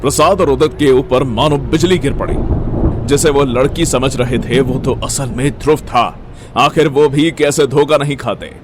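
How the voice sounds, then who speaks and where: A man's voice: mid-range voice, moderate pace. Male story narrator, faint background noise.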